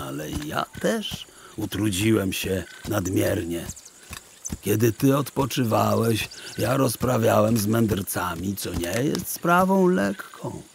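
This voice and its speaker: Kindly old scholar, exasperated, theatrical, slow and gravelly, audio drama. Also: male voice, on the low side, slight background noise, heavily acted. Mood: gently pleading. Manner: theatrical, wordy, mock-stern.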